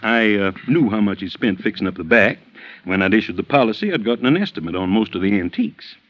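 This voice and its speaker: A man's voice: fairly low pitch, lively intonation, fast, dry recording. An actor playing a folksy, talkative Southern US insurance agent in his late fifties in an old radio drama, with a drawl. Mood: riled, puzzled. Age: 50s. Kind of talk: 1950s radio drama acting. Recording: dull vintage radio recording.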